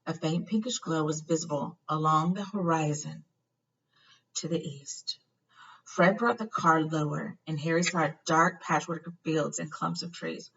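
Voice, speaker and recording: A woman reading a children's storybook aloud in an animated, expressive way, with slight stumbles. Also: low voice, unhurried, creaky, husky. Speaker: reader of a children's book. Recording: dull lo-fi, far from the mic.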